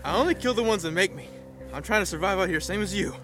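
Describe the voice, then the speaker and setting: A hardened wilderness survivor from an audio drama, played by a man. He is high-pitched and fast, with a folksy, menacing bluntness. Music runs clearly underneath. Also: animated. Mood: grim menace. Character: hardened, unrepentant male survivor living in the wild.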